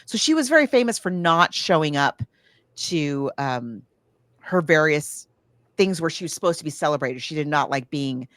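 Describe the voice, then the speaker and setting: A woman podcast host with a slightly low, very lively voice. She tells stories casually and ramblingly, slipping into quoted lines as she goes. The sound is dry, with little room on it. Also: moderate pace, no echo. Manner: rambling, animated storyteller who acts out quotes. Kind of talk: casual chat about books and films.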